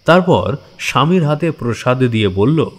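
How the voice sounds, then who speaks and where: Casting: male narrator for horror audio stories, medium pitch, dramatic and suspenseful delivery. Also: expressive, normal speed. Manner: theatrical, suspenseful.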